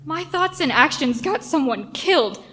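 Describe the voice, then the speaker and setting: A woman's voice: high voice, expressive, normal speed, pushed and bright. A woman acting a remorseful, anxious male radio staffer who speaks hesitantly and earnestly in a radio play. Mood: anguished. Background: music.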